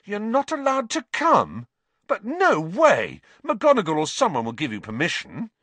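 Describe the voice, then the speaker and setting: A man voicing an excitable, blunt teenage schoolboy wizard in a storybook reading, with eager, dramatic delivery. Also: medium pitch, moderate pace, no echo, pitched above the performer's own voice. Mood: agitated.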